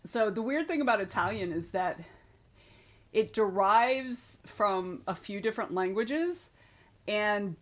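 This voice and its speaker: Woman streamer, young adult, rambling casual chat, mid pitch, frank and unfiltered. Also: lively intonation, slow. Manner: rambling, self-interrupting, frank with swearing. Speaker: streamer hosting writing sprints. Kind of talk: casual chat reading viewer comments.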